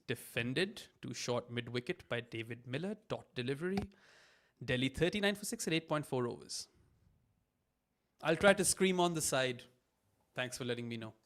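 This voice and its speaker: A young adult man hosting a live cricket watchalong, calling play-by-play with a booming voice and chatty asides. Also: lively intonation, normal speed, fairly bright tone. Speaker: watchalong host.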